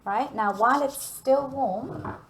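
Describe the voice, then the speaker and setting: A woman's voice: sits high, slow, slight background noise. A woman giving a hands-on baking demonstration, talking learners through each step in a chatty, instructional way and often checking in. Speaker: cooking and baking teacher.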